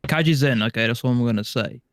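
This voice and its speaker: A man's voice: mid-range voice, quick pace. A man co-hosting a podcast, giving his views in casual chat. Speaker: podcast co-host.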